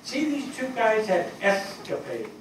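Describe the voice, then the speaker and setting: An actor as an older fire captain reminisces on stage in a conversational, affectionate way. His voice sits slightly high for a man. It comes from far off in a very echoey theatre, with faint noise. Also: wildly swooping pitch, heavily acted. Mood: fond, amused. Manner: conversational, storytelling, with pauses and repeats. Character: weary, affectionate fire captain reminiscing about lost men. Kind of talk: dramatic stage reading. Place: small theatre stage before an audience.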